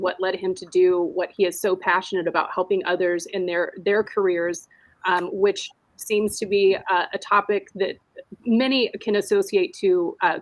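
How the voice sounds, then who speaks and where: A woman's voice: medium pitch, no echo, poor audio. Upbeat woman host, dry close sound. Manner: upbeat, filler-heavy intro. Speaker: podcast co-host. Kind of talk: podcast introduction.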